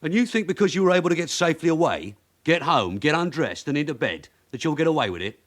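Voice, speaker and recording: An actor playing a shrewd, weary veteran detective sergeant in a radio drama, with a London accent and a blunt, sardonic, authoritative way of questioning. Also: male voice, a little high, big pitch swings, quick pace. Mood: sardonic, needling. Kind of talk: naturalistic radio drama acting.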